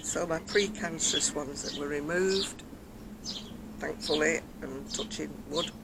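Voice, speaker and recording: Older woman vlogger, very soft quiet voice, chatty and rambling, outdoors with loud background noise. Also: sits low, measured pace, vocal fry. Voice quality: very soft, quiet voice after throat surgery. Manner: chatty, rambling, self-mocking, laughs softly. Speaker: craft vlogger. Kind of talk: casual chat to camera. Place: outdoors on a decking by a brick wall. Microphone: camera in front at close range.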